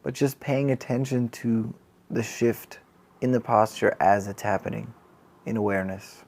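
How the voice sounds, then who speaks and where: Even-toned man guiding a meditation. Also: lowish voice, gravelly. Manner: calm, gentle, unhurried with long pauses. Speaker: mindfulness teacher. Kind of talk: guided body scan meditation.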